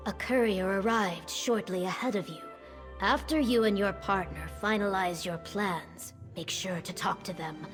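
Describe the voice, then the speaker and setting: A woman playing a dignified, protective reptilian governor in a fantasy video game, speaking in a measured, formal way. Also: mid-range voice, natural voice.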